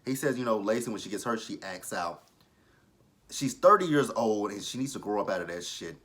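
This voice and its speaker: A thirty-year-old man talks through a TV episode in a casual review vlog. His voice sits a little low, with a bright, forceful edge. He is blunt and opinionated and swears without hesitation. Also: animated, brisk. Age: 30s. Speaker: TV show reviewer.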